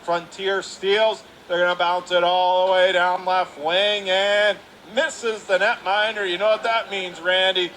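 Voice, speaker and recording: Bright, high-pitched male hockey play-by-play announcer. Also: unhurried, few pauses. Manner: clipped running phrases, excited calls. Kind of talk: live hockey play-by-play commentary. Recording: noisy live rink recording.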